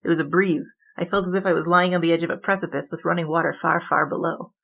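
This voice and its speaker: Cocky, low-voiced woman bandit king. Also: steady tone, quick pace, gravelly, fairly bright tone. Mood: rueful storytelling. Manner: wisecracking, boastful, slangy storytelling. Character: brash, cocky young woman bandit king confessing crimes. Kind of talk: 1920s noir comedy audio drama. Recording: music behind the voice. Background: background music.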